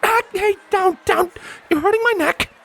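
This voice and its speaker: A man's voice: very high voice, moderate pace, creaky, a bit bright, pitched above the performer's own voice, exaggerated voice. A man voicing a caring, motherly pony mare with a diplomatic, sincere manner in acted storybook dialogue. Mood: indignant. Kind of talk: acted dialogue in a fan fiction audiobook.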